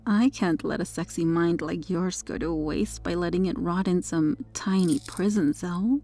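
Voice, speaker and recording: A woman playing a possessive superheroine with a hidden dark obsession in an ASMR roleplay, her manner controlled and teasing. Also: on the low side, a little slow, faint music behind. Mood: smug, possessive, teasing. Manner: smug, flirtatious, controlled.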